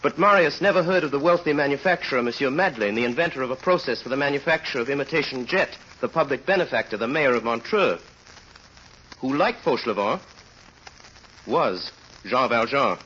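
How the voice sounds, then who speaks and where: An actor as a solemn storyteller, narrating a vintage radio drama in a measured, dramatic way. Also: male voice, mid-range voice, expressive, a bit bright, slight background noise, lightly acted. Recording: muffled old radio recording.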